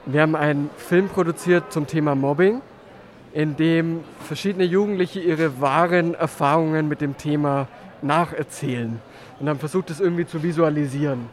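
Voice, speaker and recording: A man in his early twenties with a somewhat high voice, describing his film in an earnest, explanatory way. He is recorded outdoors at a festival. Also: normal speed. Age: 20s. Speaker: young filmmaker.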